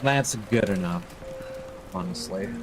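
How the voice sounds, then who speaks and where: A man's voice: deep voice, over music. A man streaming a video game, thinking aloud in casual commentary. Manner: musing aloud, unhurried asides. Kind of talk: casual livestream gameplay commentary. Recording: game ambience behind voice. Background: faint game ambience.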